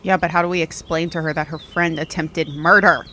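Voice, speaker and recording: A woman's voice: low-pitched, fairly even intonation, a little fast, sharp tone, slight background noise. An actress playing a blunt, exasperated, wry young woman in an audio drama, speaking emphatically. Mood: challenging.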